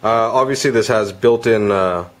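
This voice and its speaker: Guy with a lowish voice doing an off the cuff gadget demo, stops and rewords stuff. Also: moderate pace. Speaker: product reviewer. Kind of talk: casual unscripted product demo.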